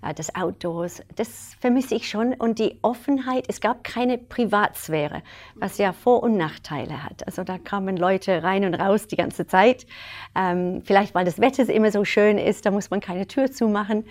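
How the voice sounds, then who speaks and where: A middle-aged woman from England, telling her life story as an interview guest in a lively way, with frequent laughs and fillers. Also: mid-range voice, normal speed.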